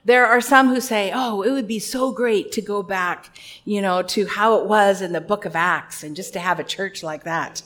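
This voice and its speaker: A woman with a loud voice preaching an earnest, conversational sermon with a few personal asides. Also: mid-range voice, normal speed.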